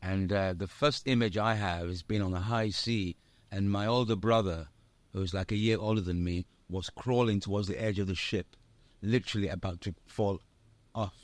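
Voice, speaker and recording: An older man who grew up between the UK and Nigeria, an actor telling stories in a casual interview, animated and laughing often. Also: on the low side, moderate pace, dry recording. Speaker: actor guest.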